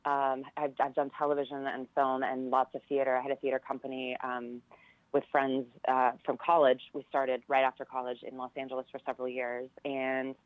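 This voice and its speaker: A woman's voice: very deep voice, little pitch movement, brisk, no room sound, phone-quality sound. An American woman in her forties chatting casually and telling stories as an interview guest. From California, United States. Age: 40s. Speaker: interview guest, theater professor and director. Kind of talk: casual chat, personal storytelling.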